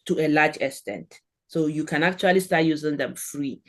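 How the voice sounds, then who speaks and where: A woman's voice: sits low, moderate pace. Nigerian woman, earnest encouraging advice. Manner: earnest, encouraging, gives advice in short phrases. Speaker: guest, German language expert. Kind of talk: podcast interview.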